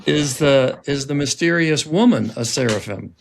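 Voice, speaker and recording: A man's voice: mid-range voice, animated, moderate pace, creaky, a little hiss or hum. A man hosting a podcast, asking questions in a conversational interview style. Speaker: podcast host.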